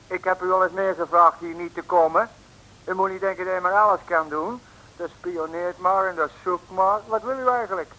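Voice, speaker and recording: A man's voice: high voice, normal speed, bright, forceful tone. An actor playing an indignant, blustering, shady male innkeeper who scolds huffily in a 1950s radio serial. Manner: huffy, scolding. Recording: hissy, noisy old radio recording.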